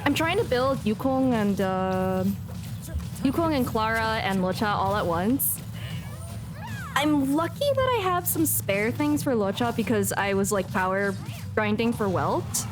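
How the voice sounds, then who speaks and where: A woman chatting casually as she livestreams a game, playful and expressive, often mock-scolding. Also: on the high side. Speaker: game streamer. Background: game music.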